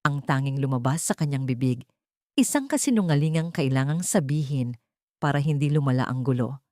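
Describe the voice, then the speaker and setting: A woman's voice: a bass voice, expressive, fairly quick, mellow, no room sound, studio quality. A woman with a Filipino accent reading a dramatic scripted audiobook story as narrator.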